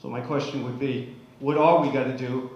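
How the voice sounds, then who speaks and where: A man's voice: mid-range voice, fairly even intonation, moderate pace, muffled recording. Man questioning a panel, heavy room echo. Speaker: audience member questioning a panel. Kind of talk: spoken question at a public forum. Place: public meeting hall. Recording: very echoey hall, faint background noise.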